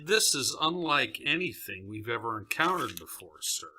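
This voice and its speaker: A man's voice: mid-range voice, sing-song, moderate pace, background noise. A man playing an earnest, deferential military cryptology officer in an audio drama. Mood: earnest, puzzled.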